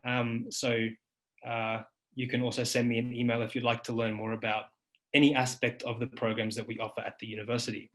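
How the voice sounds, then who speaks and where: A man in his thirties, a university lecturer presenting a webinar over slides, earnest and halting with frequent ums and restarts, on a webcam. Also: mid-range voice, little pitch movement, a bit bright, low-quality recording. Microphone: webcam video call.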